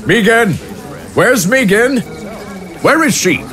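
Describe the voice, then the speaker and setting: An actor playing an imperious, impatient consul in an audio drama, speaking with stern, formal authority. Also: male voice, very high voice, expressive, slow pace, bright, forceful tone, faint music behind, exaggerated voice. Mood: alarmed, urgent. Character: imperious, impatient male consul commanding an army.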